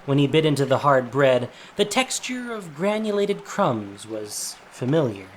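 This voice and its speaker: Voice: medium pitch, very animated, unhurried, a little hiss or hum. A narrator reading a scripted fantasy story in a dramatic audio drama style. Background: soft music.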